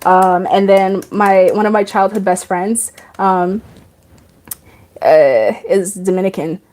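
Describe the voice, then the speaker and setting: A woman's voice: medium pitch, fairly even intonation, slow, a little mellow. A woman of about twenty-five hosting a casual live stream, chatting, laughing often and reading out viewer comments.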